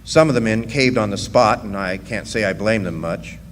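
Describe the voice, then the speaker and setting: A man's voice: fairly low pitch, moderate pace, close to an everyday voice. A man playing a plainspoken striking miner who narrates his own story in a radio drama. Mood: wry, matter-of-fact. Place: live stage performance in a hall. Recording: long hall reverb, faint noise.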